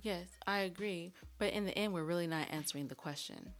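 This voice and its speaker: A woman's voice: on the low side, fairly quick. A woman co-hosting a podcast, asking questions and challenging her partner in casual, sarcastic banter.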